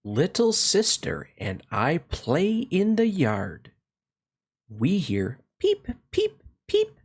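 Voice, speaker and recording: A man hosting a read-along, reading a children's picture book aloud in a slow, expressive way and then chatting about it. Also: slightly rough. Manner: slow, expressive storytelling with swooping pitch.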